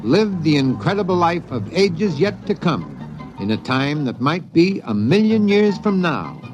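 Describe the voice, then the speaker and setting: A man with a fairly high, animated voice delivers a showy old-time radio promotion. Music plays clearly behind him. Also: measured pace, lo-fi sound. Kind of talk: scripted 1950s radio promotion.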